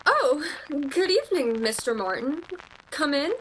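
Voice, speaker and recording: An actress playing a proud, dreamy young female shop clerk in a radio play, earnest and expressive with a haughty edge. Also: sits high, a little slow, slight background noise, exaggerated voice, low-quality recording. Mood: subdued.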